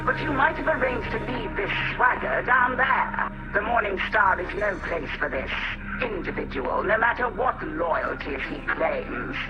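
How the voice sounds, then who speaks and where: Pompous game tech-priest, high voice that swings around, condescending, roomy and far off w loud music. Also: male voice, animated, measured pace, dull audio. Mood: disdainful. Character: haughty male machine-cult tech-priest, pompous and disdainful. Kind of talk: scripted game mission briefing and dialogue.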